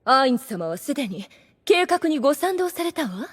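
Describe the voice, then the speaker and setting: A woman's voice: high-pitched, big pitch swings, fairly quick, a bit bright. A woman playing a proud, scheming demon lady in an anime audio drama, with refined, condescending phrasing and a theatrical manner. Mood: haughty, challenging.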